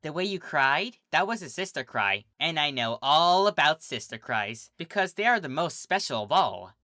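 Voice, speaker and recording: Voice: medium pitch, big pitch swings, moderate pace, bright, forceful tone, dry recording, studio quality. A fan reader voicing a bubbly female earth pony who turns sly and probing, with a sing-song, teasing delivery.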